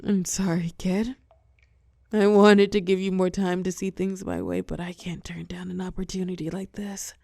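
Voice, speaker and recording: A fallen heroine turned telepath villain, acted by a woman, speaks close and dry in a lowish, crackling voice. She is weary and wry, tender with an edge of menace, pausing for effect as in an intimate audio drama. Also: lively intonation, gravelly, a little mellow, no room sound. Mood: menacing, possessive. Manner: soothing pet names, wry bitterness, dramatic pauses, drops into whispers. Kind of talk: intimate scripted audio roleplay monologue.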